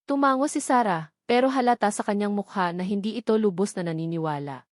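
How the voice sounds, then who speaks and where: A woman's voice: mid-range voice, fairly quick, no room sound. Filipino woman narrating a romance, dry close sound. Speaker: story narrator. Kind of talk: scripted narration of a romance story.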